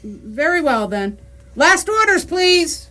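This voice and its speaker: A woman playing a polite, soft-spoken, worried pub bartender in a radio comedy. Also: sits high, big pitch swings, slow pace, full character voice. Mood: polite, puzzled. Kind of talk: radio comedy drama acting.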